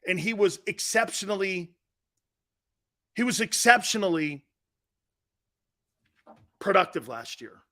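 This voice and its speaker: A man's voice: high-pitched, very animated, unhurried, pauses often, sharp tone. A middle-aged man hosting a live sports talk show, joking and rambling, laughing often as he talks. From Italian-American family.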